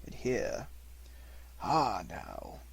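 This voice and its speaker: A man reading a detective story aloud as an amateur audiobook narrator, in an expressive manner. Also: mid-range voice, drawn-out words, vocal fry, husky.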